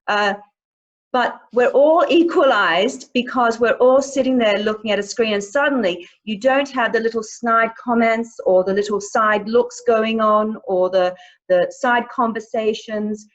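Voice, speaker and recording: Earnest woman on a muffled video call. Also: on the high side. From outside the UK. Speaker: panelist. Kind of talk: video call panel talk.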